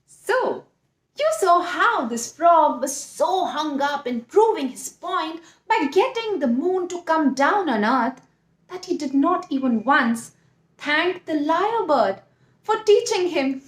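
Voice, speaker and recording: Very high-pitched, animated woman storyteller. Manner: dramatic, drawn-out emphasis. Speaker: children's storyteller. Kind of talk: expressive storytelling for children. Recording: echoey room.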